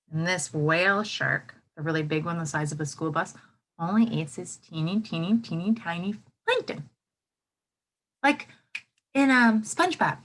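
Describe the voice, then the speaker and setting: Animated woman reading aloud to children. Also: lowish voice, rough recording. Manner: expressive, simple explanations addressed to young children. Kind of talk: children's read-aloud with explanatory asides.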